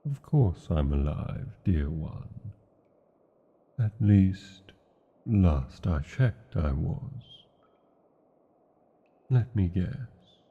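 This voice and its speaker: A British man playing a tender, brooding dragon lover in a romantic fantasy ASMR roleplay, speaking formally with old-fashioned endearments in a gravelly, husky voice. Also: low voice, lively intonation, extremely slow, pauses often, full character voice. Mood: reassuring. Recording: muffled, narrow phone-like sound.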